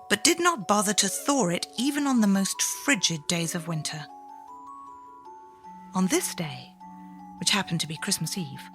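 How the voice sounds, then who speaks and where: Woman, dramatic story narration, deliberate suspenseful phrasing, bright forceful tone, unhurried. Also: fairly low pitch.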